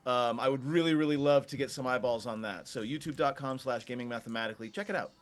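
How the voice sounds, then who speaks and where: A man's voice: medium pitch, fast talker. A man talking casually as a player on a tabletop game show. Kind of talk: casual chat.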